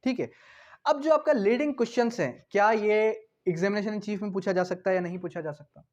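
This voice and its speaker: Man, Pakistani accent, high voice, didactic teacher, repetitive explanations, question-and-answer delivery, dull lo-fi recording. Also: normal speed, a little mellow. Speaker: law teacher. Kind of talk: explanatory lecture mixing Hindi-Urdu with English legal terms.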